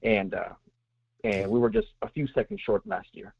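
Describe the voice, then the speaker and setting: A man's voice: medium pitch, wildly swooping pitch, normal speed, gravelly, slightly rough, no room sound. A man calling into a sports show, rambling with lots of fillers as he gives his view. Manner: rambling, many fillers and restarts. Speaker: caller. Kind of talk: call-in sports opinion. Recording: tinny phone line.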